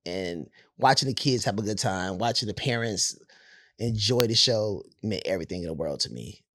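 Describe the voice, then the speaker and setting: A man with a hoarse, raspy voice from overuse, co-hosting a casual podcast chat in a laid-back, drawn-out way with lots of affirmations. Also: on the low side, animated, fairly bright tone, slight background noise. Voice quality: husky from overuse. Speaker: co-host, theater director for schools.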